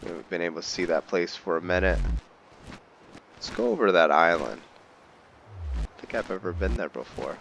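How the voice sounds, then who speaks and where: A man's voice: low voice, big pitch swings, fairly quick, creaky, pauses often, fairly bright tone. A man giving casual, laid-back video game commentary, musing aloud to himself as he plays. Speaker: gamer narrating own video game playthrough. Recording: faint background noise.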